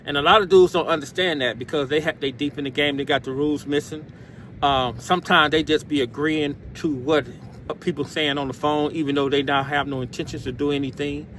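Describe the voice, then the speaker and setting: A man's voice: a little high, fairly even intonation, a little fast, a bit bright. An American man talking off the cuff like a vlogger giving advice, streetwise and emphatic, full of slang and addressing listeners as bro. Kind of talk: off-the-cuff motivational talk to camera. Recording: outdoor urban noise, passing vehicles.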